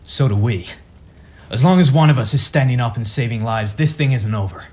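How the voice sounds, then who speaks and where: A man's voice: mid-range voice, brisk, darkish tone, natural voice. A man playing a brash, protective superhero father who leads his team, earnest and wry, in a radio play. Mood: commanding.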